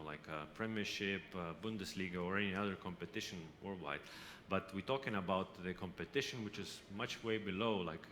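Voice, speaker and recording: A man's voice: lowish voice, a bit bright. Guy presenting at a conference, lots of uhs and restarts, echoey hall, faint background noise. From Lithuania. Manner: halting, with frequent hesitations and restarts. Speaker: company representative presenting. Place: exhibition hall.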